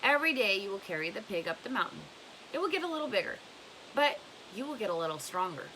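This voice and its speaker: A woman's voice: mid-range voice, very animated, fast, bright, forceful tone, slight background noise, rough recording. A woman reading a storybook as a blunt, scornful old woman who gives emphatic advice. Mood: firm, instructive. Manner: emphatic, questioning, instructive.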